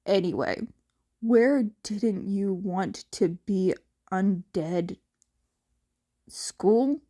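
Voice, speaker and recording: A woman voicing a tired, gruff male mentor with a curt, weary manner in a fan fiction reading. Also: mid-range voice, lively intonation, very slow, mellow, voice pushed down. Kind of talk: fan fiction character acting.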